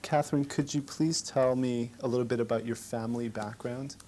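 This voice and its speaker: A man interviewing for an oral history project, prompting politely and gently. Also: mid-range voice, fairly even intonation, brisk, slight background noise.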